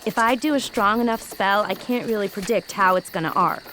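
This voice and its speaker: A woman's voice: mid-range voice, brisk, bright, forceful tone, slight background noise, natural voice, low-quality recording. A woman playing a determined teenage spellcaster in a fantasy audio drama, speaking earnestly and firmly. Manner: earnest, firm.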